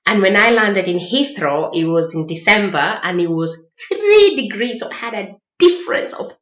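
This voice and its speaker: A woman's voice: mid-range voice, sing-song, moderate pace, a bit bright. A woman with a Kenyan accent telling personal stories in a casual, chatty vlog style. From Mombasa, Kenya. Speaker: vlogger sharing personal experiences. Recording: roomy echo, dull lo-fi sound.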